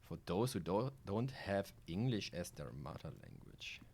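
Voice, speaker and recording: A man with a German accent and a very low voice talks through a video game on a live stream. A native German speaker, he moves between reading game text aloud and loose, sweary asides in a casual, rambling way. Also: lively intonation, measured pace, a little mellow.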